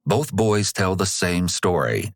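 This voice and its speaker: Very deep gravelly male narrator. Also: very animated. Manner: measured, explanatory. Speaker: narrator of a true crime analysis video. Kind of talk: scripted analytical narration.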